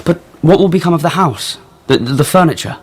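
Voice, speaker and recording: A man's voice: on the high side, expressive, fairly quick, slightly rough. A young man acting an earnest, honourable nobleman shamed by his family, speaking formally and contritely in a radio drama. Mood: earnest, anxious. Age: young adult.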